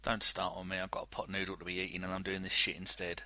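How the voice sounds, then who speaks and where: Joking man with a very bright, dry voice. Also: low-pitched, monotone, quick pace, dry recording. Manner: joking, teasing. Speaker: podcast panellist. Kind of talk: casual football banter.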